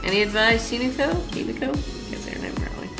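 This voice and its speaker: A woman's voice: on the high side, rapid-fire. A woman streamer giving casual reaction commentary, chatting and reading game choices aloud. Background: game music.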